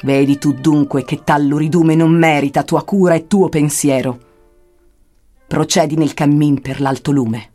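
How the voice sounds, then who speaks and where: A woman's voice: low-pitched, fairly even intonation, measured pace, dark tone. A woman playing a stern, scornful poet guide through hell, declaiming archaic verse for radio. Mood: stern, commanding. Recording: music under the voice. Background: music.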